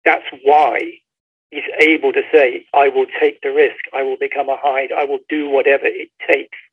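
A man's voice: medium pitch, level tone, normal speed, breathy edge. A man, a guest author explaining a story in emphatic, conversational storytelling over a phone call. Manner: emphatic storytelling, acts out quoted dialogue. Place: remote call. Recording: tinny phone line.

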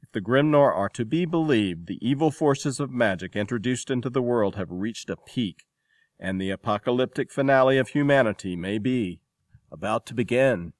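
A man's voice: mid-range voice, expressive, moderate pace, no echo, studio quality. A man reading a scripted introduction and story recap as a podcast host.

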